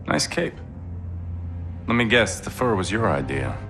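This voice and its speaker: A man playing a smug, bitter crime lord out for vengeance in a cinematic game, speaking with deliberate menace. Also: on the low side, big pitch swings, vocal fry, full character voice. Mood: smug mockery. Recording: dark music under the voice.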